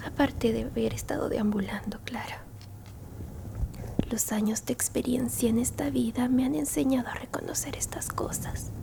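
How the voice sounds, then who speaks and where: A woman voicing a gentle, bookish, elderly alien grandmother in a whispered ASMR roleplay, soothing and tender, with a breathy, creaky voice. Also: a little high, steady tone. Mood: gentle, wistful. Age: older. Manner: slow, soothing whispering with soft murmured hums and pauses. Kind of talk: Spanish-language ASMR roleplay, mostly whispered.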